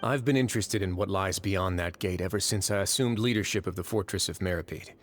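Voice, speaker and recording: An actor playing a composed, shrewd fortress warden in a video game, explaining things in a measured, matter-of-fact way. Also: male voice, low-pitched, a little hiss or hum, close to an everyday voice. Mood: measured, analytical. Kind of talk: video game dialogue acting.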